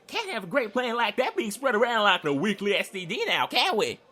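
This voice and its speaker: Man acting a cocky, high-voiced young male prisoner. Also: big pitch swings, a little fast, sharp tone, heavily acted. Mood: smug. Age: young adult. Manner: smug, theatrical, sarcastic, taunting.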